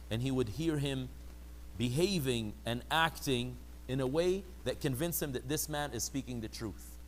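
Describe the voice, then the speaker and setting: A man teaching a religious lecture to a class, animated, asking the audience questions and acting out the people he quotes. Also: medium pitch, slight background noise. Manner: animated, acts out quoted people in raised mocking voices; asks the audience rhetorical questions.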